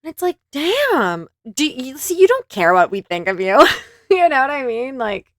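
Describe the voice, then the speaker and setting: A woman delivers reaction commentary with sarcasm and laughter, her voice pitched a little high and swinging all over. The sound is close and dry. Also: very animated, moderate pace, fairly bright tone, no room sound. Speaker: commentary host.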